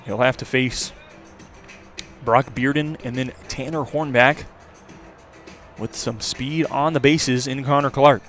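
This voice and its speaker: A man's voice: medium pitch, steady tone, slow pace, long pauses, fairly bright tone, soft background music. A man calling a college baseball game live as a play-by-play radio announcer.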